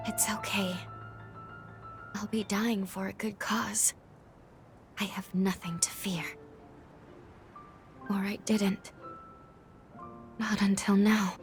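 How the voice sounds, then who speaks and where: A woman playing a gentle, dutiful young heroine in a video game, speaking softly in formal, earnest, measured phrases. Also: medium pitch, natural voice. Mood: afraid, anguished. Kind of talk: dramatic video game cutscene acting. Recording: game music behind the voice.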